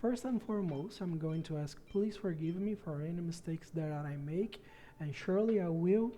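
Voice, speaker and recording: A man's voice: a little high, moderate pace, dark tone. A man from Brazil with a Brazilian accent and Portuguese as his first language, earnestly explaining a game strategy in a casual tutorial and repeating words for emphasis. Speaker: gaming guide creator.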